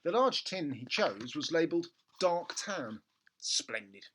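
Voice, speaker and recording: A man narrating a children's book expressively, with theatrical emphasis and comic pauses. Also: fairly high pitch, very animated, fairly bright tone, a little hiss or hum. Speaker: storybook reader.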